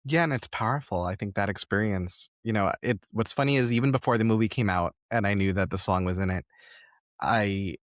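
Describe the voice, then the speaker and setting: Low-pitched male podcast host, dry close sound. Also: fairly quick, no room sound. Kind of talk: conversational interview, reflective chat.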